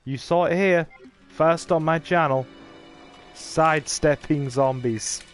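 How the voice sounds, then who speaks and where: A laid-back English man talks his viewers through a game as he plays, easygoing and chatty. His voice sits a little higher than most men's, and soft game music plays faintly behind him. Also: slow. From England. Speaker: gaming streamer. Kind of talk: casual gameplay livestream commentary.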